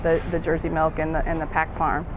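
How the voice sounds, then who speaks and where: A woman's voice: sits low, level tone, a little fast, over music, poor audio. A woman cheesemaker explaining in a chatty way with a few fillers. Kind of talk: casual interview. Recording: outdoor recording with noise.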